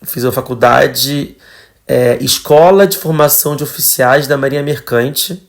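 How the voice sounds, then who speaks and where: A man in his thirties with a Carioca accent talks about his own life in a casual, unhurried way. He often trails off and restarts his sentences. His voice sits at an ordinary middle pitch. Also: gravelly. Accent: Carioca Portuguese. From Rio de Janeiro, Brazil. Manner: relaxed, conversational, with fillers and trailing phrases. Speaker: podcast guest, traveler. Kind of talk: casual personal storytelling.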